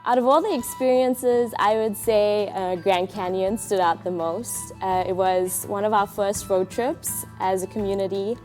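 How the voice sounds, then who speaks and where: A woman's voice: medium pitch, steady tone, a little slow, few pauses, a little mellow, faint music behind. A woman from South Africa with a South African accent, earnestly recounting her volunteer year. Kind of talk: testimonial interview.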